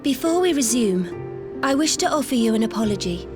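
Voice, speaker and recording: An actress playing a gracious young diplomat in a video game, speaking formal, composed, conciliatory lines. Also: female voice, on the high side, lively intonation, normal speed, over music, close to an everyday voice. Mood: apologetic. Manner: courteous, composed.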